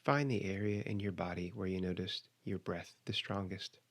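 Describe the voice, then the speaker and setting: A man leading a guided meditation, giving measured, instructional cues with pauses between phrases. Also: sits low, steady tone, creaky.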